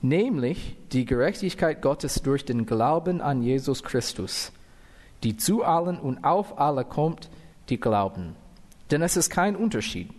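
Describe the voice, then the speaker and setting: A man's voice: medium pitch, very animated, a little mellow. An American man with English as his first tongue preaches in a church hall, taking his time over every phrase. His voice carries a long reverb from the room, and he stops now and then to correct himself. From United States. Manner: careful, deliberate, with fillers and self-corrections. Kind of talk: sermon with scripture reading.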